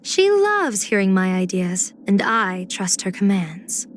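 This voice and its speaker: A woman playing a playful, scheming, poised general and diviner in a video game, speaking in measured, teasing, elegant phrases. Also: medium pitch, sing-song, slight background noise. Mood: wry, composed. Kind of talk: video game character voice acting.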